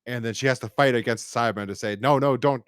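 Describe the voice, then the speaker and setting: A man's voice: mid-range voice, a bit bright, clean tone, dry recording, clean studio sound. A man co-hosting a podcast, chatting casually about an audio drama in a rambling way, often restarting and repeating his words. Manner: rambling, halting with frequent false starts and repeated words. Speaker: podcast co-host.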